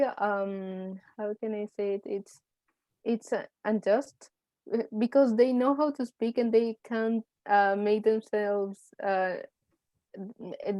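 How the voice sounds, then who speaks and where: A woman's voice: medium pitch, dark tone, no room sound, muffled recording. A young woman with a Spanish accent, a university student speaking hesitantly in a class discussion. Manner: hesitant, many restarts and fillers.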